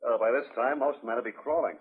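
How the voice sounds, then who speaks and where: An actor playing a hard, menacing rancher in a 1950s radio western, speaking in deliberate threats. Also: male voice, medium pitch, husky. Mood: taunting. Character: hard, menacing rancher guarding his friend's claim. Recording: muffled, band-limited old radio broadcast.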